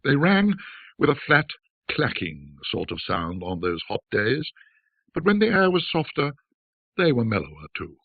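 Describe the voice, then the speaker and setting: A man with a husky voice narrating a classic ghost story in a measured, theatrical, reflective manner. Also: medium pitch, wildly swooping pitch, dry recording, phone-quality sound.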